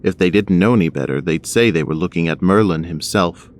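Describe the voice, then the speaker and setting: A man's voice: sits low, a little fast. A man narrating a scripted action audio drama.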